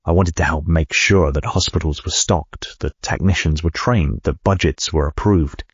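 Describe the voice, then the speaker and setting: An actor playing a driven company CEO narrating his memoir in a reflective, measured way, with a gravelly, husky voice. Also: a bass voice, dry recording, muffled recording. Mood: earnest, resolute. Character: driven male medical company CEO recounting his life. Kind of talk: first-person memoir narration.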